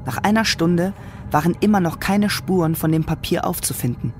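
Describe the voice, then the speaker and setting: A man's voice: a little high, normal speed, soft background music, close to an everyday voice. A man playing the sober narrator of a science fiction radio drama, reading scripted prose. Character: sober male storyteller of a science fiction tale.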